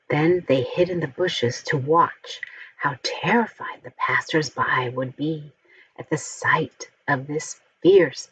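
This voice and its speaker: A woman reads a children's story aloud in an expressive, deliberate way. Her voice is on the low side and husky, and she takes her time over each phrase, enunciating for young listeners. The recording is a little dull. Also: no echo. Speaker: storybook reader.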